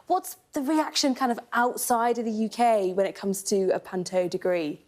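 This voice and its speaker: A woman's voice: medium pitch, fairly even intonation, moderate pace, darkish tone. A woman on a live television panel putting questions to a guest in a conversational way. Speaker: TV panel interviewer.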